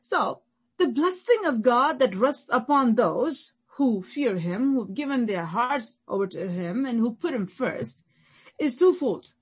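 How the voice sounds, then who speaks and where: Husky-voiced woman pastor preaching. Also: a little high, expressive, measured pace. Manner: repetitive, emphatic preaching with building lists. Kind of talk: sermon.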